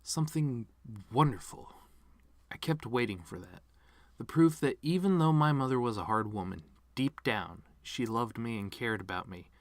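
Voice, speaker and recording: A man playing a bitter, wounded middle-aged TV star in a sardonic, theatrical eulogy monologue, swinging between outbursts and hushed asides. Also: mid-range voice, dry recording, close to an everyday voice. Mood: wistful. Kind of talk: dramatic monologue reading, fan performance.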